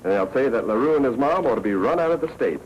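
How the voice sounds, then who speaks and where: An actor playing a cool, tough American newspaper editor in a 1940s film noir, terse and wry. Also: male voice, medium pitch, expressive, fast, lightly acted. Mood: cool, firm. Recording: muffled, hissy 1940s film soundtrack.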